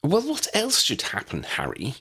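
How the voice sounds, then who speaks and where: A man's voice: a little high, huge pitch swings, moderate pace, bright, forceful tone, husky, pitched above the performer's own voice, full character voice. An earnest and bossy young witch from a storybook , acted out by a man. The voice is lifted and swoops emphatically, clever and insistent. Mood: insistent, scolding. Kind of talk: storybook character acting.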